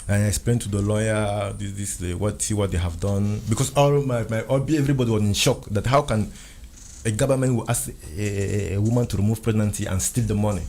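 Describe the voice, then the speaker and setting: A man's voice: lowish voice, fairly even intonation, brisk, darkish tone, slight background noise. A man whose first language is French, telling his story in English in an earnest way, often repeating and restarting phrases. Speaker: guest. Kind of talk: recounting a personal ordeal in conversation.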